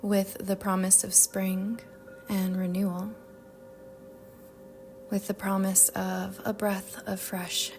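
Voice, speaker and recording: A woman leads a guided meditation in a soft voice that slips toward a whisper at its edges. Her delivery is slow and very even in pitch, gentle and measured, leaving long pauses over a bed of music. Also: vocal fry. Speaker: yoga nidra meditation guide.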